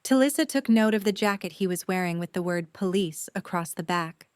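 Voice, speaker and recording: A woman's voice: fairly low pitch, brisk, a little mellow, smooth, dry recording, studio quality. A woman reading scripted third-person story narration for an audio drama.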